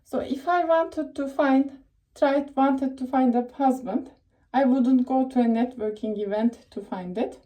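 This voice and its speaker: A woman's voice: high-pitched, measured pace, warm tone. A young woman from Turkey with a Turkish accent, giving casual career advice in a rambling, chatty way full of fillers and self-corrections. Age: young adult. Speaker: tech professional giving advice.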